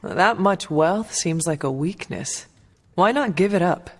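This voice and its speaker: An actor playing a solemn, courteous warrior leader from another age in a video game, with formal, old-fashioned wording. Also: male voice, high voice, lively intonation, measured pace, fairly bright tone, exaggerated voice. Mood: puzzled. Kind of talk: video game cutscene acting. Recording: music playing behind.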